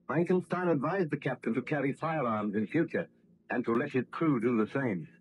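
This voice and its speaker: A man narrating a 1930s radio drama recap in a formal, measured, scripted way. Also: medium pitch, slight background noise, low-quality recording.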